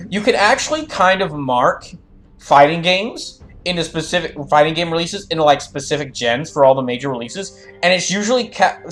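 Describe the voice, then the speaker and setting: A man with a fairly high voice talks off the cuff as a game streamer. He rambles, circling back over his phrases while he reads chat and comments, with music playing clearly behind him. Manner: rambling, repeats phrases as thoughts form. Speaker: streamer doing live fandub of a game and reading chat. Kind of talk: casual gaming livestream chat and commentary.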